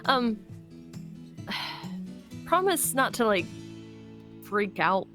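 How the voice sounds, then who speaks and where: A woman playing a hesitant, hopeful young apocalypse survivor in an improvised game roleplay, speaking haltingly and trailing off. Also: a little high, big pitch swings, over music, heavily acted. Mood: nervous.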